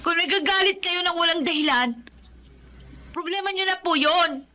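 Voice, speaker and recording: A woman's voice: very high-pitched, moderate pace, sharp tone, slight background noise. A woman playing a young orphaned girl in a Filipino melodrama, earnest, emotional and pleading. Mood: indignant. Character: distressed young orphaned girl standing up to a bully. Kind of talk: Filipino melodrama audio acting.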